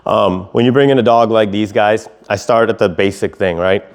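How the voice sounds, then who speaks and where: A man's voice: fairly low pitch, steady tone, moderate pace. Man teaching as a dog trainer. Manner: explanatory, punctuated by clear, short commands and praise. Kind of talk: instructional talk with spoken dog commands.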